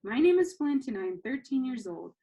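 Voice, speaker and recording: A woman's voice: high voice, big pitch swings, brisk, mellow, slightly rough, dull audio, full character voice. A woman voicing a reluctant, awkward thirteen-year-old boy in a storybook, with a hesitant manner. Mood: earnest.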